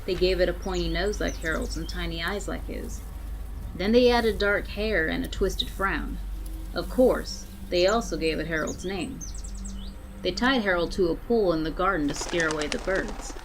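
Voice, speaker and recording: A woman narrating a scary story aloud in a theatrical way, with deliberate dramatic pauses. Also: on the low side. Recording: clearly noisy background.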